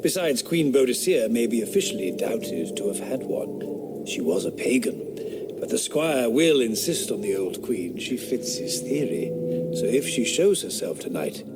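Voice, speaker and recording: A man voices a sardonic eighteenth-century philosopher in a radio drama. He speaks drily, scoffing at every theory in a mid-pitched voice. Outdoor ambience sits behind him. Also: big pitch swings, normal speed, very noisy, lightly acted. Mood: wry. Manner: dry, mocking, skeptical. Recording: audio drama with woodland ambience.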